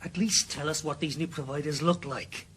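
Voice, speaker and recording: A man's voice: a little high, steady tone, normal speed, fairly bright tone, slight background noise, natural voice. A man, playing a blunt, skeptical sidekick in a radio drama.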